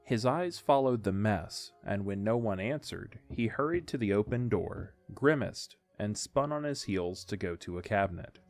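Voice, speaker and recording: A man's voice: on the low side, animated, moderate pace, hardly any pauses, a little mellow, faint music behind. A man reading scripted story narration for an audio drama.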